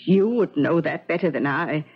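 A woman's voice: deep voice, animated, normal speed, breathy edge. An actress playing a devoted, motherly servant in a 1970s radio drama, anxious, coaxing and deferential. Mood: anxious pleading. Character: fussing, devoted older female servant, timid and motherly. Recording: dull 1970s radio recording.

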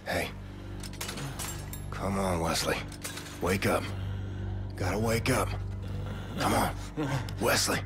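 Game hero, gruff American soldier, deep breathy growl, defiant, loud score. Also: male voice, takes it slowly, pauses often. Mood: urgent concern. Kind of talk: video game hero acting. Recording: loud game music behind.

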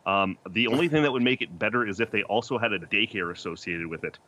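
Joking man, bright voice on a video call. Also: on the low side, quick pace, muffled recording. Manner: joking, laughs often. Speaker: podcast host and debate guest. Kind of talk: lively debate on a video call. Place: small indoor room.

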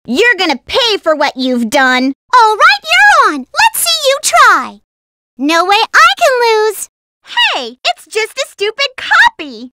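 A woman voicing a peppy, lovestruck, feisty young girl hedgehog in video game battle clips, girlish and squeaky, with a bubbly, theatrical delivery. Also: wildly swooping pitch, slow pace, very noisy, heavily acted. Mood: angry, defiant.

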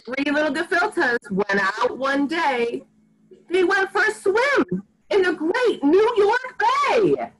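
A woman in her forties reading a picture book aloud to young children in an expressive, sing-song, deliberate way, recorded at home on a computer mic. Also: extremely high pitch, background noise. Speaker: rabbi reading to preschoolers. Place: small furnished room at home. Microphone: computer microphone on a video call.

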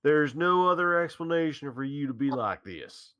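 A man's voice: a little high, lively intonation, normal speed, sharp tone, dull audio. A man reading the part of an earnest, worried young man confronting a friend in a visual novel. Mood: insistent, worried. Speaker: amateur reader of a visual novel's lead. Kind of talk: staged reading with joking asides.

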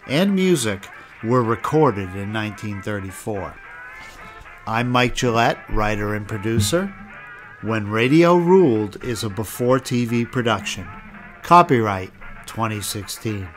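A man's voice: medium pitch, unhurried, faint music behind. A man hosting a podcast, reading scripted narration and credits. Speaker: podcast host.

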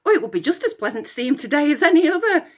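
A chattering young nymph, as a woman reading aloud puts her on, her words spilling out high and quick. She gushes without pause, bright and eager, in a storybook telling. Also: very animated. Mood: gushing. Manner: babbling, breathless and eager.

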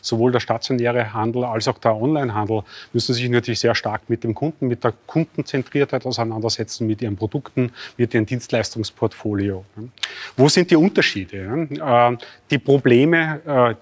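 A middle-aged man speaking formally as an academic guest, in a halting, carefully worded way. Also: medium pitch. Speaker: university professor, expert guest. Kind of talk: formal expert panel discussion. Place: large dark indoor studio showroom.

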